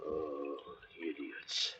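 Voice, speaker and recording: A man's voice: a bass voice, flat delivery, very slow, sharp tone, heavily acted. A man playing an embittered, menacing castaway with a sardonic, threatening delivery in 1940s radio drama. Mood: bitter despair. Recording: dull old radio recording.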